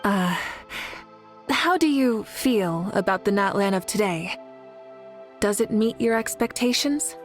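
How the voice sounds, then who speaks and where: A woman's voice: medium pitch, faint music behind, close to an everyday voice. Dignified warrior queen, voiced by a woman, solemn. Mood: composed, inquiring. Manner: formal, measured, solemn. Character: dignified, resolute warrior queen who leads a nation. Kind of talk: scripted video game dialogue.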